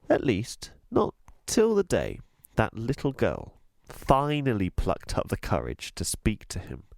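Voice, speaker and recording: A man reading a children's storybook aloud as a teacher would, with expressive, deliberate, emphatic delivery. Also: medium pitch, no room sound. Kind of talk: scripted storybook narration.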